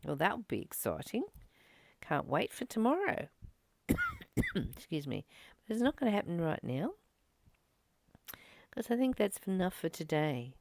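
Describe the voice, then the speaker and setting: A woman reading a spiritual text aloud and reflecting on it in a slow, gentle, contemplative way. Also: fairly low pitch, wildly swooping pitch, creaky, no echo. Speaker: spiritual study reader and teacher.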